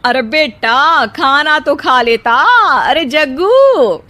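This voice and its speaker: A woman's voice: high voice, sing-song, slow pace, a bit bright, exaggerated voice. A woman voicing a fretful, sarcastic older village mother-in-law in a cartoon story, grumbling and exclamatory. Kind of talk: cartoon moral-story acting.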